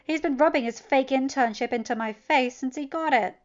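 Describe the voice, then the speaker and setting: A woman's voice: sits high, brisk, muffled recording, voice pushed up, close to an everyday voice. A woman voicing a cocky teenage boy bully, posturing and defensive, in a story reading.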